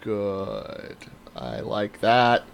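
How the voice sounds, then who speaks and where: A man's voice: lowish voice, very animated, drawn-out words, vocal fry, slight background noise. A man co-hosting a podcast, giving opinions casually with a sardonic, sarcastic edge. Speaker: podcast co-host.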